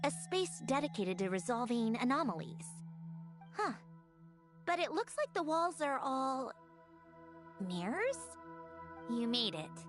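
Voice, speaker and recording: A prickly, high-voiced android girl. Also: sing-song, slow, long pauses, over music, heavily acted. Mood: wistful, pensive. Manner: haughty, curt, precise wording. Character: prickly young female machine being, guarded, logical, secretly sentimental. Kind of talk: video game character acting.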